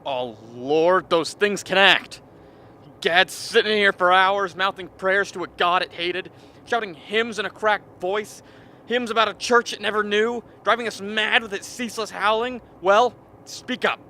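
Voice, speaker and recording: Rattled, exasperated expedition man, played by a man, very high for a male, forceful, radio play, faint noise. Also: a little slow. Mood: agitated. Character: exasperated, unnerved man of an isolated camp. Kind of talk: radio drama acting.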